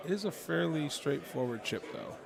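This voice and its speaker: A man's voice: fairly high pitch, animated, normal speed, creaky. A man calling live arcade golf play from an announcer's booth, chatty and joking. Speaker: play-by-play commentator. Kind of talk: live esports commentary. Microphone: booth microphone. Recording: busy event with crowd noise. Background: crowd chatter.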